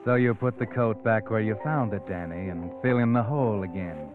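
An actor playing the insinuating narrator of an old-time radio suspense drama, addressing the hero directly in a scripted, suspenseful manner. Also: male voice, lowish voice, moderate pace, lightly acted. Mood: hushed suspense. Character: insinuating male inner-voice narrator of a suspense tale. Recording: tinny vintage radio recording. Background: dramatic music.

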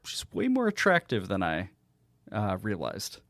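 A man co-hosting a casual podcast, talking in an easygoing, drawn-out way with some swearing. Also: fairly low pitch, huge pitch swings, fairly bright tone, no room sound, clean studio sound. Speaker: podcast co-host. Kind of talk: casual chat.

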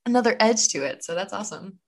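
A woman's voice: medium pitch, animated, fast talker. A woman co-hosting an interview, asking questions tentatively with many fillers. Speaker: co-host. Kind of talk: casual interview.

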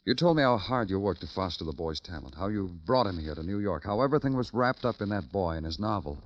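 A man's voice: deep voice, lively intonation, quick pace. An actor playing a terse, world-weary male police detective, clipped and dry, in an old radio crime drama. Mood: pressing. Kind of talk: 1940s-50s radio crime drama acting and narration. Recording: muffled old radio recording with music.

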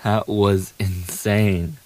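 A man's voice: fairly low pitch, drawn-out words. A man playing a famous young pop idol boyfriend in an ASMR audio roleplay, playful, affectionate and eager, teasing the listener in a casual, intimate way. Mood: relaxed, affectionate. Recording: steady hiss behind the voice.

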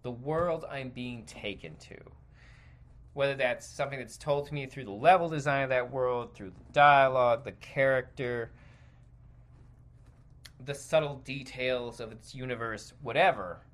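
A man's voice: mid-range voice, a little slow, fairly bright tone. An American man hosting a podcast, ranting in an exasperated, foul-mouthed, emphatic stream of consciousness and often repeating himself. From United States. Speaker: podcast host. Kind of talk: stream-of-consciousness comedic rant.

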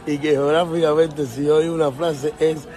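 A man's voice: fairly high pitch, level tone, moderate pace, a little mellow. A man from Cuba with a Cuban Spanish accent, ranting casually to his viewers, joking and swearing, often breaking into laughter. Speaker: vlogger.

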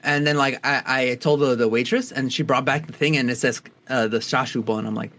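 A man chatting casually with friends, his talk loose and rambling and full of fillers. He pokes fun at himself as he goes, in an easy, average-pitched voice. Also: fairly even intonation, brisk, a bit bright. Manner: rambling, self-mocking, lots of "like". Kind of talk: casual chat among friends.

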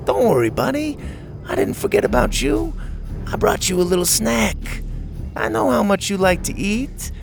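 Man playing a high-voiced, taunting boy bully. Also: wildly swooping pitch, drawn-out words, gravelly, over music, heavily acted. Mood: mocking. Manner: mocking, sneering, falsely coaxing. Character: taunting, cruel boy bully, gang leader in a mask.

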